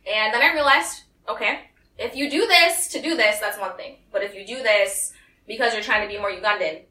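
A woman's voice: mid-range voice, fairly quick, sharp tone. A young woman from the United States telling a personal story to a small group, acting out the voices of the people she quotes. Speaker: speaker giving a talk. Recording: echoey room, voice from some distance.

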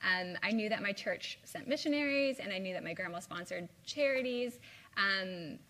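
Young midwest woman giving a casual talk to students, lots of likes and ums, middle pitch. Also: normal speed, bright, forceful tone. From Midwest US (Indiana). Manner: chatty, lots of "like" and "um". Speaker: guest speaker. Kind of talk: informal live talk with slides. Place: university lecture room.

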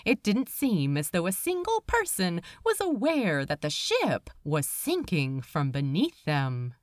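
A woman narrates scripted fiction at an unhurried pace. Her intonation is lively and dramatic, shaped for expressive storytelling. The sound is dry and close. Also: on the low side, no echo. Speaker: audiobook narrator.

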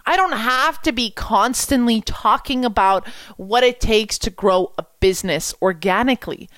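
A young woman from Quebec, a French speaker, coaching about business with energetic rhetorical questions. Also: a little high, normal speed, dry recording. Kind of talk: coaching talk to camera.

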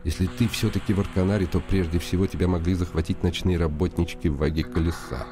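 A man's voice: low voice, steady tone, fast, lightly acted. A man playing a weary, ironic nobleman in reflective inner monologue for a radio play. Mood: pondering. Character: weary, ironic, lonely nobleman, brooding inwardly. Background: music.

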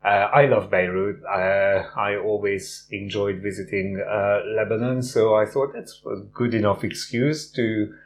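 A man's voice: fairly low pitch, fairly even intonation, unhurried, hardly any pauses. A middle-aged man who grew up in Hungary, telling his story as a guest in a casual interview, with a lot of "uh" fillers. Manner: warm storytelling with frequent "uh" fillers. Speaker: guest, runner and teacher.

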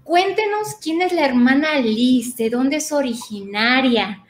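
A woman's voice: high-pitched, expressive, a little slow. A woman hosting a casual interview, asking playful, bubbly questions. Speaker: host.

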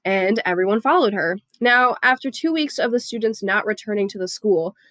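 A woman's voice: medium pitch, moderate pace, fairly bright tone, no echo. A young woman hosting a history podcast, reading her story in a casual manner, with a stuffy nose from a head cold. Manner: casual, read-aloud storytelling with fillers. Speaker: podcast host. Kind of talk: student history podcast narration.

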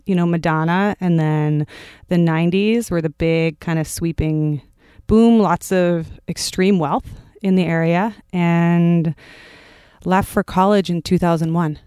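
Animated woman in her thirties. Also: on the low side, a little slow, clear, resonant tone, dry recording, clean studio sound. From Palo Alto, California. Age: 30s. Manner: animated storyteller, acts out quotes. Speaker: podcast guest. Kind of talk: casual interview storytelling.

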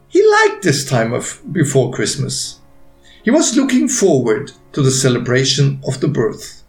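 A man narrating a written story in a deliberate, expressive way with pauses, as an author reading his own work. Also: medium pitch. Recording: roomy echo, faint music behind.

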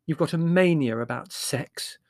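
A man's voice: a little high, moderate pace, fairly bright tone, no room sound, studio quality, pitched above the performer's own voice. A man voicing a prim, scornful young woman, a sister in an audiobook, speaking emphatically. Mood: accusing. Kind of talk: audiobook character voice.

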